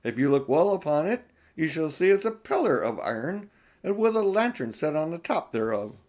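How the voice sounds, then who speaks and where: A man's voice: a little high, very animated, moderate pace, darkish tone, pitched above the performer's own voice. A man voicing courtly storybook royals with a stately, formal, old-fashioned way of speaking. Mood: courtly wonder. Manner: stately, archaic formal speech. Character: courtly adult king and queen, formal and grand.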